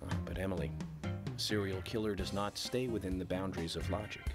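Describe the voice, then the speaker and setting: A very low-pitched, composed and slightly odd federal agent played by a male actor in a video game cutscene. He speaks formally and takes his time, with loud music playing over the dialogue. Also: lightly acted. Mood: calm, assured. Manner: measured, formal, unhurried delivery. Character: calm, eccentric adult male FBI agent investigating murders.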